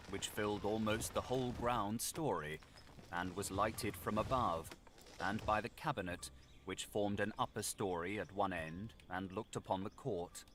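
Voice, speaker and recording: A man narrating a gothic audiobook in a measured, literary, dramatic delivery. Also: fairly low pitch, a bit bright, slight background noise.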